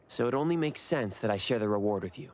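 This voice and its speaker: A man's voice: medium pitch, expressive, quick pace, darkish tone, close to an everyday voice. A man playing an earnest, reserved young man in an anime game, speaking sincerely and with understatement. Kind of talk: anime game voice acting, conversational.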